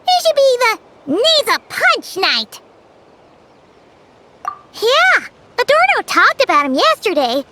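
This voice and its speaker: A woman voicing a tiny, childlike fairy companion in a video game, squeaky, excitable and cheeky. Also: slow pace, long pauses, a little hiss or hum, heavily acted. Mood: indignant. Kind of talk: video game story dialogue. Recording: music behind the voice.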